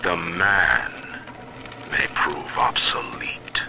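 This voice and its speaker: A man's voice: low-pitched, very slow, noisy background, full character voice. A man playing a stern, proud middle-aged tycoon who rules his own city in a video game, speaking his audio diaries in pompous, menacing, formal oratory. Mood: suspicious, threatening. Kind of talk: dramatic recorded diary monologue, formal oratory.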